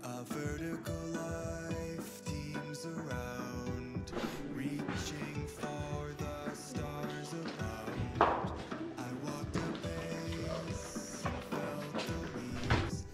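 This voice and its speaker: A woman's voice: low-pitched, fairly even intonation, very slow. A woman singing a folk-style song. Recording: song with guitar music. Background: loud guitar accompaniment.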